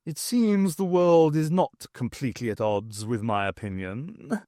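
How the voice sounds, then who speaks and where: A man voicing a gruff, suspicious male cat swordsman in an audiobook, speaking slowly and curtly. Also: mid-range voice, animated, warm tone, no room sound, clean studio sound, natural voice. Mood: suspicious, stiff. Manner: slow, curt, deliberate delivery.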